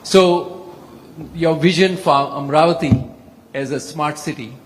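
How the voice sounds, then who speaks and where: A man's voice: a little high, measured pace. A middle-aged or older man with an Indian accent, formally and deferentially addressing a leader from the audience as an executive. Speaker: tech executive speaking from the audience. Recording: echoey hall with background noise.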